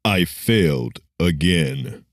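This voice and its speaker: A man voicing a grieving male superhero with a mystic air, speaking gently and sorrowfully in an audiobook story. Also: low voice, expressive, slow pace, dry recording, clean studio sound, full character voice. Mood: gentle grief.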